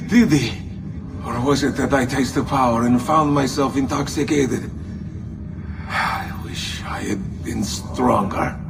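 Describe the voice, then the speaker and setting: A man's voice: mid-range voice, takes it slowly, vocal fry, distant mic, music in the background. An actor playing a proud, theatrical villain henchman in a video game cutscene. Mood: remorseful. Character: smug, cruel zealot henchman, theatrical and gloating.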